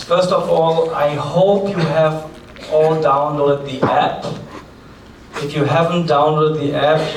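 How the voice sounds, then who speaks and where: A man with a German accent opening a conference as its host, giving practical announcements in a chatty way with light asides. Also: fairly high pitch. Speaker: forum host. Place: large conference hall. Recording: echoey hall, distant mic, faint noise.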